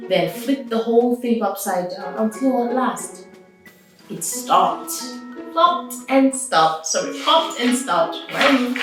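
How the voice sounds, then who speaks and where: A woman reading a story aloud to children as host, expressive and enthusiastic, with chatty asides and drawn-out emphasis. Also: on the high side. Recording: very echoey room, distant mic, music behind.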